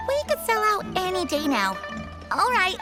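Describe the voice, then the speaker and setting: An actress playing a brisk female shop clerk in a video game dub, polite and precise with sales patter, over game music. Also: extremely high pitch, wildly swooping pitch, heavily acted. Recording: game soundtrack music underneath.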